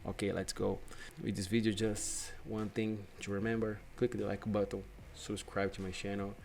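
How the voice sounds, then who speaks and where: A young man from Brazil with a Brazilian Portuguese accent, hosting a screen-share software tutorial in non-native English and often restarting his phrases. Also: fairly low pitch, level tone, moderate pace, gravelly. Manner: hesitant, repeats and restarts phrases. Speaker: UX/UI designer and channel host.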